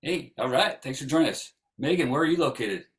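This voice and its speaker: A man's voice: mid-range voice, lively intonation, brisk, fairly bright tone. A man who programs a film festival, hosting a casual Q&A with filmmakers over a video call.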